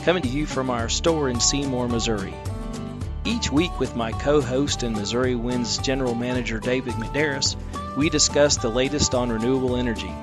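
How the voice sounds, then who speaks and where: A man's voice: medium pitch, brisk. Man reading a podcast intro over music. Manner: announcer read. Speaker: podcast host. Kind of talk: scripted intro.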